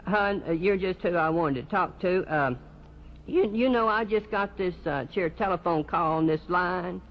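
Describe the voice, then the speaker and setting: A man's voice: high voice, moderate pace. A man playing a folksy, sheepish churchgoer in a one-sided comic phone monologue, stammering, repeating himself and eagerly agreeing. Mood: flustered. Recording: dull lo-fi live recording, loud noise.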